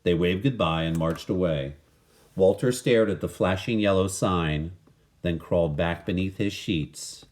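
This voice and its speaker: A man reading a children's picture book aloud in an animated, dramatic manner. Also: low voice, a little slow. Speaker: story reader.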